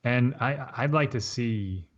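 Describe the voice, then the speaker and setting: A man chatting casually on a tech podcast, halting and often repeating words as he goes. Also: mid-range voice, lo-fi sound. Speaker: podcast panelist.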